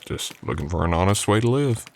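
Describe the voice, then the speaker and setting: A man playing a low-voiced, polite drifter cowboy. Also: lively intonation, a little fast, darkish tone, slight background noise. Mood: willing, easygoing. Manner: folksy, courteous, hesitant, drawling old west speech. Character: polite, easygoing drifter cowboy hired as ranch hand. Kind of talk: ASMR roleplay acting, addressing the listener.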